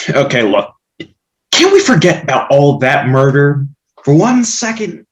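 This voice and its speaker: A woman's voice: deep voice, sing-song, slow, full character voice. Sarcastic, exasperated parent with swooping delivery played by a woman. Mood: strained politeness. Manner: theatrical, sarcastic. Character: scheming, exasperated parent arranging a wedding. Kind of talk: comedic stage play reading.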